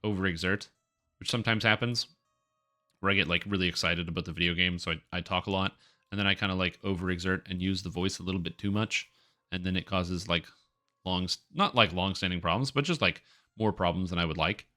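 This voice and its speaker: A man's voice: low voice, a little fast, pushed and bright. A man with a congested, nasal voice chatting casually and ramblingly on a game live stream, close to the microphone. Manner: rambling, talks to himself and viewers. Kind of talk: casual live-stream chat while gaming.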